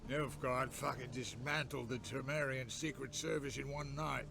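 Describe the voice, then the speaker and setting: A man voicing a gruff, foul-mouthed male spy who swears freely. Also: medium pitch, fairly even intonation, moderate pace, noisy background, close to an everyday voice. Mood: sardonic. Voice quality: gravelly, swears constantly.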